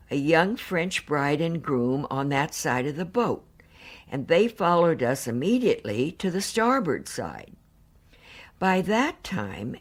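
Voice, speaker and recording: An actress playing a married woman survivor, giving composed, earnest testimony in a measured, formal, precise way. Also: fairly low pitch. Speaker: actor reading witness testimony. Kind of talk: dramatised reading of hearing testimony.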